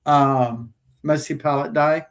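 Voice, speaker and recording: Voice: medium pitch, steady tone, slow pace. The host of a craft livestream chatting casually, hesitant with many ums. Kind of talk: casual chat.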